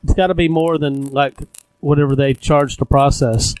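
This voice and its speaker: A man's voice: a little high, steady tone, normal speed, darkish tone, no echo, poor audio. A man in his forties co-hosting a podcast in laid-back, joking chat. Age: 40s. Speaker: podcast co-host. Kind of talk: casual chat.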